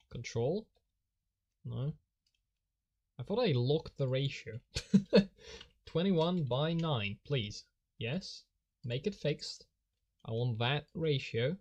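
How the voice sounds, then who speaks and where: Slow, rambling man streaming his drawing. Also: mid-range voice, darkish tone, highs cut off. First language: Polish. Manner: rambling, self-mocking, chuckles often. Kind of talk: live drawing stream, thinking aloud.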